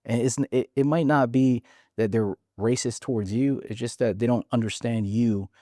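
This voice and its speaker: A man's voice: medium pitch, steady tone, normal speed, mellow, no echo, studio quality. A man from Hawaii in his forties, a podcast guest frankly telling his story and often restarting his words. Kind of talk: casual interview storytelling.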